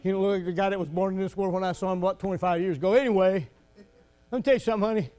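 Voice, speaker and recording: High-voiced preacher, folksy and shouting. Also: male voice, racing. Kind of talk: sermon.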